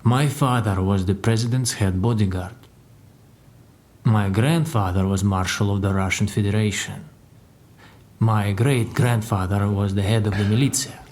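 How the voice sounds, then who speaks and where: A proud Russian secret service man played by a male actor, with a low voice. He is grave and boastful, setting out his claims in deliberate, dramatic lists, in the manner of an audio drama. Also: fairly even intonation, darkish tone, natural voice. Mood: proud, solemn. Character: proud, solemn Russian secret service agent turned crime boss's secretary.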